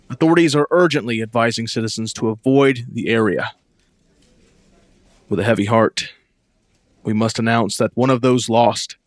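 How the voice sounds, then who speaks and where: Actor as a grave, close-miked radio news anchor. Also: male voice, on the low side, no room sound, natural voice. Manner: formal, grave, halting when shaken. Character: solemn, shaken male radio news anchor. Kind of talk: 1930s-style radio drama newscast.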